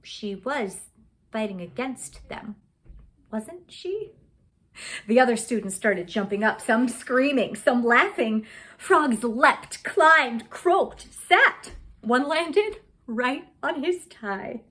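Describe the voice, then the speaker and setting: Actress, woman, storybook reading; higher-pitched, animated delivery that swells loud and sinks to a hush. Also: takes it slowly, husky. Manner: expressive, with big swings in pitch and loudness. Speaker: actress reading a fairy tale aloud.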